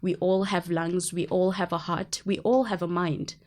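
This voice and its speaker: Earnest woman, low voice. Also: fairly even intonation, normal speed, a little mellow. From Zimbabwe, raised in South Africa. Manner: earnest, hesitant with frequent ums. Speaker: guest. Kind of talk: personal storytelling in an interview.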